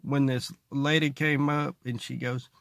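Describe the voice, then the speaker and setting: Creaky-voiced man rambling with dry sarcasm. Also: medium pitch, flat delivery, unhurried, fairly bright tone, no room sound. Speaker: stand-up comedian, vlogger. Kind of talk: casual comedic monologue to camera. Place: inside a parked car.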